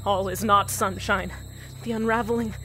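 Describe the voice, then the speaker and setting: A woman's voice: mid-range voice, fairly even intonation, normal speed, fairly bright tone, faint music behind, close to an everyday voice, poor audio. A woman playing a lonely radio host in an audio drama who insists that everything is fine. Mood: panicked, unraveling. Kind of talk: scripted fan audio drama, radio monologue.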